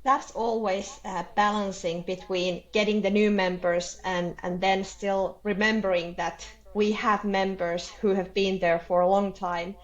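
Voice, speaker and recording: A woman's voice: mid-range voice, steady tone, muffled recording. Finnish-accented woman, hesitant interview guest. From Finland. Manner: hesitant, halting non-native phrasing. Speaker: interview guest, board candidate. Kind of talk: unscripted interview answers.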